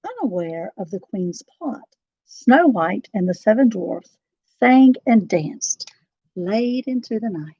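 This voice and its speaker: A woman narrating a children's storybook in an expressive, dramatic and deliberate style. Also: medium pitch, slightly rough, dry recording, muffled recording.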